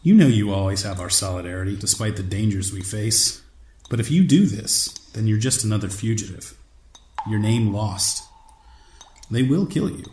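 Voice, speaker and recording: An actor playing a wry, loyal confidant in a radio drama, measured and slightly formal, the cautious voice of reason. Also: male voice, fairly low pitch, expressive, darkish tone, close to an everyday voice. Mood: grave, loyal. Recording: echoey, faint background noise.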